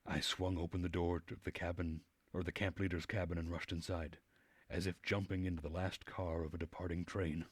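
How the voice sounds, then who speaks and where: A man with a husky voice reading a visual novel aloud as narration while streaming the game. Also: low voice, level tone, fairly quick, a little hiss or hum.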